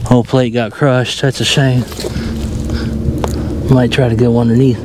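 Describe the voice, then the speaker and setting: A middle-aged man speaks with a Southern US accent in a folksy, easygoing manner at a medium pitch. He narrates casually like an outdoor vlogger on an action camera. Clear noise runs behind the voice. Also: level tone, a little slow. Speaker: metal detecting vlogger. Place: outdoors in open farm fields. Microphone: handheld or body-worn action camera.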